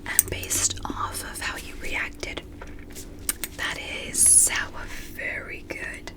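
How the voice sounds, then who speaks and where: A gentle, curious female alien doctor played by a woman for an ASMR medical roleplay. She whispers throughout at a very slow pace. Her manner is soothing, formally polite and encouraging, as if guiding a human patient through an exam. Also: close to an everyday voice. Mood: calm, attentive.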